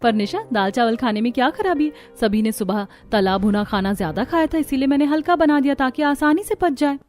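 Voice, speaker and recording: महिला की आवाज़ में झुंझलाई जवान बहू, ऊँचा सुर. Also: female voice, high voice, lively intonation, a little fast, a little mellow, faint music behind. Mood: polite, protesting. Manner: exasperated, complaining. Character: harried, resentful young daughter-in-law, grumbling housewife. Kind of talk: Hindi family cartoon dubbing.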